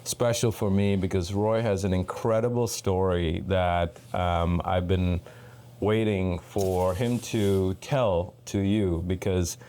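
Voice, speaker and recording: A man from Sri Lanka hosting a podcast interview, giving measured, earnest introductions. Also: deep voice, fairly even intonation, a little mellow, slight background noise. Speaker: podcast host.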